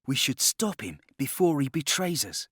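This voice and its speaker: A man's voice: mid-range voice, big pitch swings, normal speed, a bit bright, slightly rough, no echo, studio quality, pitched above the performer's own voice. A man voicing a suspicious, hot-tempered young man from London's East End in an audiobook, urgent and intense.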